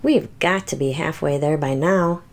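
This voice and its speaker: A woman voicing an anxious, boastful but loving little toy T-rex in a picture book, with exaggerated, expressive delivery. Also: sits low, moderate pace, voice pushed down. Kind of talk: children's picture book read-aloud.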